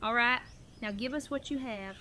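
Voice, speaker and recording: A woman's voice: on the high side, moderate pace, pushed and bright, slight background noise. A woman playing a resolute, wary survivor leader in an audio drama, firm, direct and businesslike. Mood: stern.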